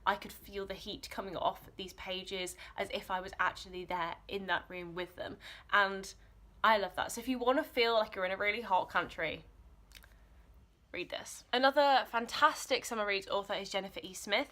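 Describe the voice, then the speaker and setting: A woman's voice: medium pitch, moderate pace, a bit bright. A young woman in her twenties giving enthusiastic, informal recommendations in a casual, chatty vlog style. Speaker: book vlogger.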